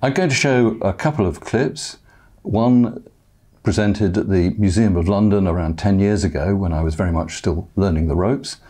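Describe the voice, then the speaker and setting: An older man speaks to camera in a measured, amiable manner, presenting himself as a cruise lecturer. His voice is fairly low and a little husky. A lapel mic picks him up in a quiet indoor meeting room. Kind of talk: promo talk to camera.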